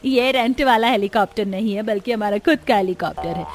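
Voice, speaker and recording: A woman's voice: mid-range voice, fairly quick, lightly acted. A woman voicing a proud, wealthy middle-aged mother-in-law in a cartoon story. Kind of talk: Hindi cartoon dubbing.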